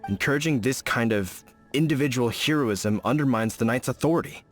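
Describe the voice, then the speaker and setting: A man's voice: mid-range voice, a little fast, faint music behind, lightly acted. A man voicing an earnest, dutiful knight officer who explains his orders formally, in video game acting.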